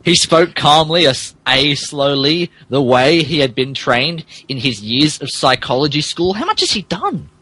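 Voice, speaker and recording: A man's voice: fairly high pitch, very animated, moderate pace, few pauses, bright, forceful tone, a little hiss or hum. A man narrating fan fiction as a comedic dramatic reading, stumbling over words and adding asides. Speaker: podcast host reading as narrator.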